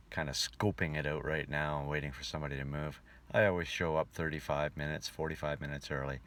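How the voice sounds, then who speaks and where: A man narrating a casual vlog as a touring musician, with a folksy, wry way of talking. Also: deep voice. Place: outdoors and in a vehicle. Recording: noisy outdoor and vehicle ambience.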